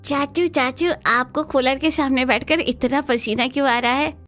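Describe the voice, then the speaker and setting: A woman puts on a small cartoon boy. He is cheeky and curious, with a high voice over background music. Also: fairly even intonation, normal speed, a bit bright.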